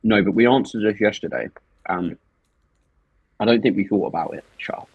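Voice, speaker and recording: A man's voice: fairly low pitch, moderate pace, breathy edge, dry recording, dull audio. A man in his twenties co-hosting a podcast, teasing his co-host and reading out listener questions. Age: 20s. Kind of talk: casual chat.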